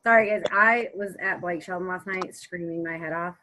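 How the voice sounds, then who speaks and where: A hoarse woman giving a candid personal testimonial over a webcam video call. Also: on the low side, moderate pace, bright, forceful tone, a little hiss or hum. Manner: apologetic, candid. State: hoarse from yelling.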